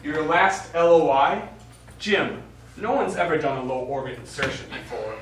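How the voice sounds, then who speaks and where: An actor playing a protective, peacemaking space mission commander in a stage play. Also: male voice, a little high, expressive, moderate pace, natural voice. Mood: incredulous. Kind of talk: stage acting. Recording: very echoey distant stage recording, faint noise.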